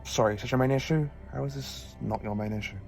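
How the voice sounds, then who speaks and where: A man playing an eccentric, inquisitive and excitable time-traveling alien scientist in a sci-fi audio drama. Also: mid-range voice, fast, vocal fry, soft background music, natural voice. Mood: uneasy wonder.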